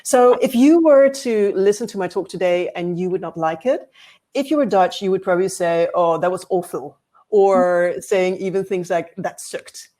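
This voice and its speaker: A woman's voice: lowish voice, normal speed, mellow. A woman from Denmark giving a frank, structured keynote talk with light humor, over a video call. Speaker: award-winning speaker.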